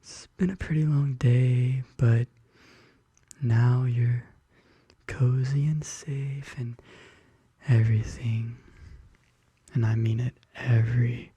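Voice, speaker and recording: A soft-spoken ASMR host murmuring and whispering intimately and slowly in a soothing bedtime-story style, close to the microphone. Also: mid-range voice, steady tone, breathy edge, dry recording. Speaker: ASMR video creator and host. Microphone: close studio condenser microphone.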